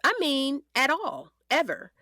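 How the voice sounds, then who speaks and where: An actress playing a determined defense attorney, questioning in a deliberate, emphatic courtroom manner for an audio drama. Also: female voice, sits high, huge pitch swings, pushed and bright, dry recording, clean studio sound, heavily acted. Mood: pointed, insistent. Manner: deliberate, emphatic cross-examining. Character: determined female defense attorney, pointed and composed.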